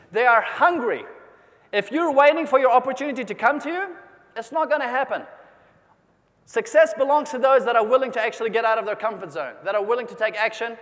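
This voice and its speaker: A man with a high voice delivers a motivational keynote to a convention crowd. He keeps the audience involved with questions and calls for applause. His voice rings out with a long hall reverb. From New Zealand. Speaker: motivational speaker.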